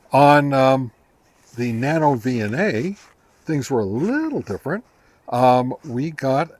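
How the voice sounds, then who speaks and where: A man presents a hands-on equipment review in a medium-pitched voice. His delivery is casual and folksy. He talks conversationally and rambles a little, like a host explaining results to regular viewers. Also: darkish tone. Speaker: host reviewing ham radio gear. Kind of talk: casual product test review.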